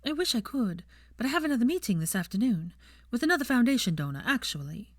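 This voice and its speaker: A woman's voice: fairly high pitch, very animated, quick pace, no echo, studio quality, voice pushed up. A woman voicing an earnest, bookish young witch in an audiobook, with an airy, polite manner. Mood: apologetic. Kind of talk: audiobook character voice.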